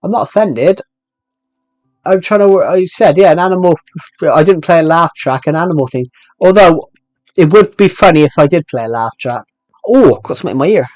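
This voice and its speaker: A man's voice: a little high, lively intonation, moderate pace, a little mellow, no echo. Rambling British man, dry close sound. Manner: rambling, restarts sentences while answering chat questions. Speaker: game streamer. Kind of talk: casual live stream chat.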